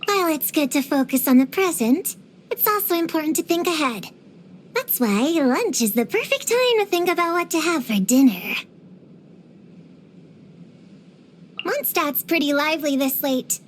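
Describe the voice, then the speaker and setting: A woman's voice: high-pitched, slight background noise. A woman voicing an earnest, bookish young girl witch hunter in a video game, precocious, proud and slightly dramatic. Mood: earnest, shy. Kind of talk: scripted game voice lines.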